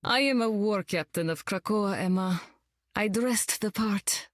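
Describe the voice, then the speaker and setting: An actress playing a young, sardonic demon queen and war captain, deadpan and dry, in a video game. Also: mid-range voice, measured pace, no echo, lightly acted. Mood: cool, deadpan. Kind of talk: video game character acting.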